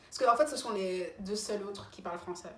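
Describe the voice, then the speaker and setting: Young woman vlogger, laid-back playful chat, mid-pitched voice, roomy echo, faint hiss. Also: fairly quick, pushed and bright. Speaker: vlogger, host of the channel. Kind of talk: casual chat.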